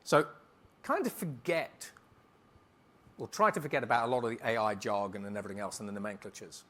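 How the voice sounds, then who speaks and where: A man speaking as a keynote speaker, answering on stage in a conference hall in a brisk, emphatic way and rattling off lists. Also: lowish voice, fairly bright tone.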